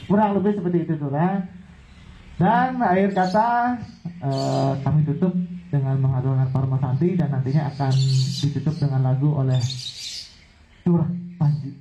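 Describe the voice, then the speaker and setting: A man hosting a discussion and giving relaxed, chatty closing remarks. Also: a little high, a little hiss or hum. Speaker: host. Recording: dull, echoey room.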